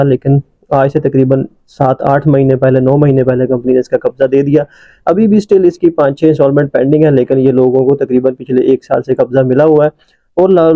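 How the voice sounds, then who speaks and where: A man, a real estate agent, narrating a property tour from inside a moving car in a brisk sales pitch with many "uh" fillers. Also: no room sound, highs cut off. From Pakistan.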